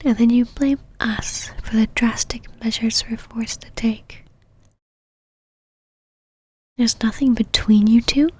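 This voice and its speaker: Casting: actress for female vampire knight, ASMR roleplay. Breathy whisper, haughty, possessive, teasingly controlling. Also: fairly high pitch, fairly even intonation, darkish tone, dry recording. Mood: suspicious, possessive. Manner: whispered, slow condescending endearments and commands.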